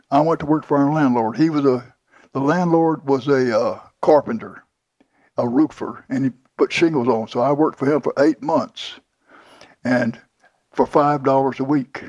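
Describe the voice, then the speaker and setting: A man's voice: medium pitch, a little mellow, slightly rough, no echo, highs cut off. An older man telling his life story in an interview, plainly and with many restarts. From Houston, Texas. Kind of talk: oral history interview.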